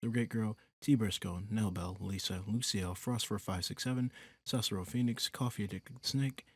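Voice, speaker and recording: Low husky man, close and dry. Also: normal speed, no room sound. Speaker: audio roleplay creator thanking supporters. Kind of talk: scripted outro, reading a list of names.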